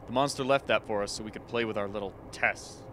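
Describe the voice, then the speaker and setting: An actor playing a logical, level-headed scientist in a radio play, matter-of-fact and grimly ironic. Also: male voice, on the low side, fast talker, fairly bright tone, a little hiss or hum, lightly acted.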